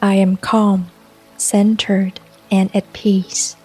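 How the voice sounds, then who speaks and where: A woman narrating a scripted guided meditation in a calm, soothing, unhurried way, with long pauses between phrases. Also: medium pitch, husky. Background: soft music and rain sounds.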